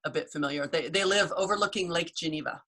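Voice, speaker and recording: Bright-voiced American woman hosting a call. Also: on the low side, quick pace. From United States. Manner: chatty storyteller, warm laughs. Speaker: host. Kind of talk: casual video call chat. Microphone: video call.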